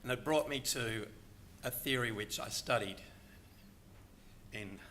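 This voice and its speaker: An older man giving a prepared talk on a conference panel, earnest and reflective. His voice is bright and forceful, at an ordinary pitch for a man. A faint hum of room noise sits under him. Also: a little slow. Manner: earnest, reflective, quotes other speakers. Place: conference hall.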